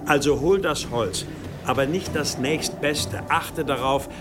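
An actor playing a commanding, pious abbot in a German audio drama, deliberate and insistent. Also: male voice, mid-range voice, music in the background, natural voice. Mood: stern, patronising. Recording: clear ambient noise with birds.

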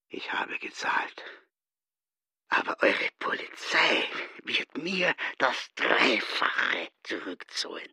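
An actor in a German radio play voicing a boastful, indignant little swindler posing as a grand gentleman, pompous and theatrical. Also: medium pitch, vocal fry, husky, dry recording, clean studio sound, full character voice.

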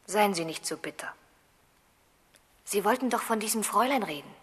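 An actress playing a gentle, tenderly reproachful young married woman in a literary radio play, softly spoken. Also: medium pitch, lively intonation, moderate pace, husky. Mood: soothing. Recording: distant voice in long dreamlike reverb.

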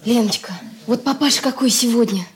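Woman playing eager young daughter, high voice, rapid speech, impulsive, noisy radio play recording. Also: lightly acted. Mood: eager, excited. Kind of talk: Soviet radio play acting.

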